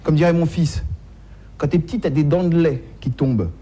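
A man's voice: a little high, moderate pace, mellow, slight background noise. A man doing a stand-up comedy monologue in slangy, streetwise, mock-naive style, repeating phrases as he goes, on a live stage recording.

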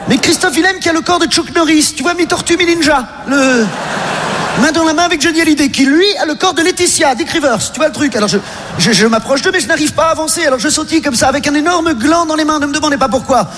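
Humoriste homme à voix très aiguë, hésitant, en salle réverbérante avec bruit de fond. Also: male voice, extremely high pitch, noisy background. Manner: hesitant, halting with many fillers. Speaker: stand-up comedian. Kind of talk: absurd stand-up monologue. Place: stage before a live audience. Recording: live hall recording aired on radio.

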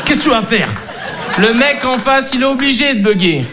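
Animated young man doing stand-up. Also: very high-pitched, normal speed. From France. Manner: animated, mocking, does voices. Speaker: stand-up comedian. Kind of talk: stand-up routine. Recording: live club with audience noise. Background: crowd laughter.

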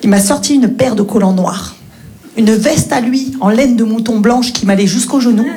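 A young woman doing stand-up, lively storytelling that acts out the dialogue. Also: a little high, normal speed. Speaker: stand-up comedian.

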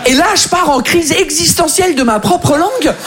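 A man in his thirties from England with an English accent, performing an animated stand-up routine that slips between French and English. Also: extremely high pitch, normal speed, crowd noise. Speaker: stand-up comedian.

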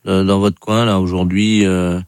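Fake-police prank caller man, lowish voice, hesitant, dry sound. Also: level tone, darkish tone, clear, resonant tone, no echo. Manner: halting, hesitant. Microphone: phone call.

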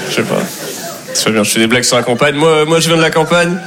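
A man's voice: a little high, fairly quick, a crowd in the background. A man from southwest France doing a stand-up set, chatty and laughing as he acts out other people's voices. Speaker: stand-up comedian.

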